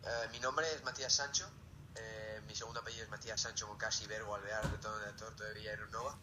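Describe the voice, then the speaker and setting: A man's voice: lowish voice, flat delivery, fast, noisy background. A young man in his late teens from Zaragoza with a Peninsular Spanish accent, answering interview questions casually as a student. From Zaragoza, Spain.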